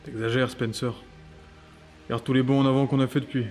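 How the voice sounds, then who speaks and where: A man acts out a teasing, skeptical agency colleague in an audio drama. His middle-pitched voice is casual and breezy, given to joking. Music sits lightly underneath. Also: flat delivery, fairly quick, darkish tone, natural voice. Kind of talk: French audio fiction dialogue.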